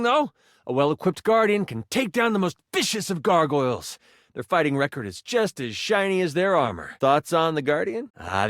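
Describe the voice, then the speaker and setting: An eager living die from a video game speaks in a high, very animated voice. He is a playful, earnest game master who slips into grand fantasy storytelling. The sound is close and dry. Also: no echo. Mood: explanatory, theatrical. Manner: expressive, playful, shifting into grand storyteller phrasing. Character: excitable, earnest twenty-sided die come to life, eager game master. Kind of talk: theatrical fantasy game narration.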